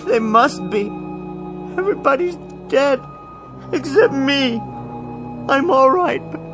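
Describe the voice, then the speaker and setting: An actor playing a meek, bookish bank clerk who talks to himself in an old-time radio drama style. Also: male voice, very high-pitched. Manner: talks to himself, halting repetitions when shaken. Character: meek bookish male bank clerk, dazed lone survivor. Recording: radio drama with music underneath.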